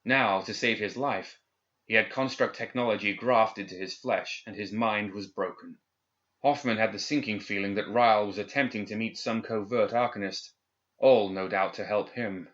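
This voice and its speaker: A man's voice: fairly low pitch, fairly even intonation, moderate pace, fairly bright tone. A man reading a story aloud as scripted audiobook narration. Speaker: audiobook reader. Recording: slightly dull, band-limited recording.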